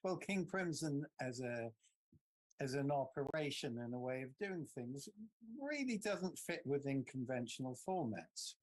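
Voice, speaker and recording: Deliberate man answering an interview. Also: mid-range voice, lively intonation, darkish tone, poor audio. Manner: deliberate, measured, pausing to pick words. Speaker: interviewee, band founder.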